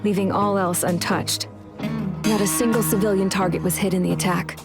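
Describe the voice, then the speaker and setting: A woman's voice: medium pitch, fairly even intonation, normal speed, creaky, lightly acted. An actress playing a world-weary female pilot, narrating a war in the first person with a reflective, wry, matter-of-fact manner. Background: music with guitar.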